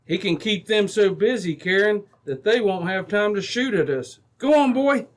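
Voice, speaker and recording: A man's voice: high voice, animated, normal speed, noisy background. A man playing a firm, authoritative Mountie sergeant in an old-time radio adventure, giving clipped orders. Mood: urgent, encouraging. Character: firm, commanding male Mountie sergeant, steady lawman.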